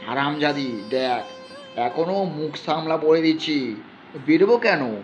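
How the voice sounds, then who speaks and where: Aggrieved young wife, voiced by a male reader for a storybook drama: defiant, animated, highish pitch, music bed. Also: unhurried, lo-fi sound. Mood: defiant, bitter. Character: long-suffering, sharp-tongued young village wife and mother.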